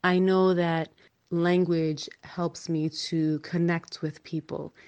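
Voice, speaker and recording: Low-voiced woman from New York. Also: flat delivery, takes it slowly, a little mellow, clean tone. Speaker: ESL teacher telling her story. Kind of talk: reflective personal story.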